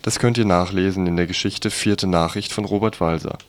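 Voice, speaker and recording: Deep-voiced male radio presenter. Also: steady tone, brisk, dry recording.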